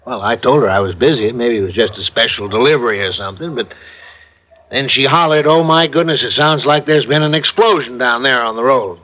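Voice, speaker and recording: An American actor playing a talkative small-town police sergeant in a classic radio crime drama, telling things in a colloquial, emphatic, rambling way. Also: male voice, medium pitch, brisk, close to an everyday voice. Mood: folksy explaining. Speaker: supporting character actor. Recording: muffled old radio recording.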